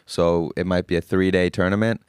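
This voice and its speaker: Young man, American accent, monotone, dry close sound, calm explaining, repeats words. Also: sits low, brisk, smooth, no echo. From Finland. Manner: calm, even explanations with frequent word repeats. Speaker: guest, golf caddie. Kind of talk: casual interview answers.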